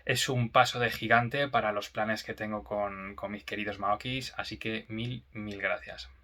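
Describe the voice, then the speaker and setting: Low-voiced man giving grateful thanks. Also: fairly even intonation, moderate pace, sharp tone. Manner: grateful, emotional. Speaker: children's book author. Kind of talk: thank-you message.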